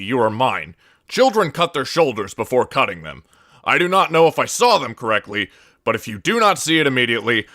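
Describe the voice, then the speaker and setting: A man's voice: medium pitch, bright, forceful tone, dry recording, studio quality. A man doing a scripted reading of game monologues.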